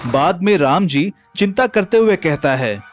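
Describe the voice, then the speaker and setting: Animated high-voiced man telling a story. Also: measured pace, faint music behind. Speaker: story narrator. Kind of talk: scripted storybook narration.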